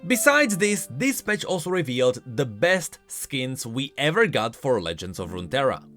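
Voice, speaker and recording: A man's voice: mid-range voice, very animated, normal speed, bright, forceful tone. A man hosting a gaming news show, reading scripted narration in an emphatic way with stretched, swooping stresses. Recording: music playing behind the voice. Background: background music.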